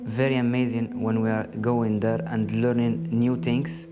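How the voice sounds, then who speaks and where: Teenage male student, Moroccan accent, flat delivery, loud music behind. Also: medium pitch, measured pace. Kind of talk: interview testimony.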